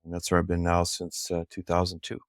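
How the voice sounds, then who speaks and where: Low, smooth-voiced man. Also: completely flat delivery, a little fast, no echo, studio quality. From Canada. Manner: enthusiastic, rambling storyteller. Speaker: podcast guest. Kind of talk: casual chat.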